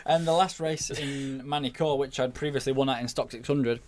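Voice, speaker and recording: A man's voice: medium pitch, steady tone, fairly quick, a little mellow. A man speaking as a podcast guest and motorcycle racer, telling anecdotes in a casual, informal way.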